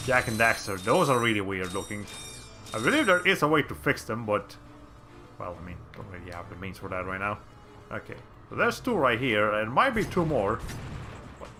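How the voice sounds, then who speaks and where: A male streamer with a raspy voice giving casual, rambling commentary while playing a game, often restarting his sentences. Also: fairly low pitch, pushed and bright. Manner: rambling, chatty, frequent restarts.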